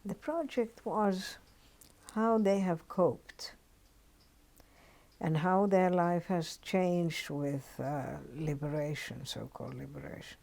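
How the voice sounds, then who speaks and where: An older woman from Finland with a Finnish accent, telling her story in an oral history interview, unhurried and reflective with frequent pauses. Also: fairly low pitch, gravelly, darkish tone.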